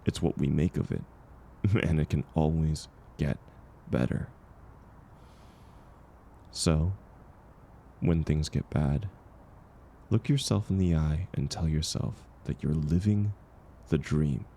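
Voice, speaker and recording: A man playing a kind, world-weary drifter in an audio roleplay, talking gently and unhurriedly to encourage a stranger. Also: very deep voice, slow, vocal fry, pauses often, slight background noise, exaggerated voice. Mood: hopeful, tender. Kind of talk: intimate comfort audio roleplay.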